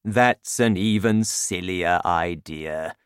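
A woman voicing a gentle, gloomy male giant in a children's story, talking in a slow, sad, matter-of-fact way. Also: extremely low pitch, clean tone, no room sound, clean studio sound, voice pushed down. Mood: doubtful.

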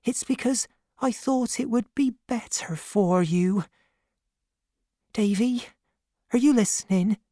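A woman voicing a devoted, humble servant woman with a hushed, earnest, affectionate manner in a storybook. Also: on the high side, takes it slowly, long pauses, dry recording, clean studio sound, voice pushed up. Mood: tender, earnest.

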